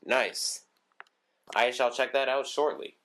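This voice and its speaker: A man's voice: mid-range voice, fairly even intonation, slow, fairly bright tone, slight background noise. A man casually showing his weather radio collection on video, rambling with lots of ums and restarts. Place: room at home with shelves of radios.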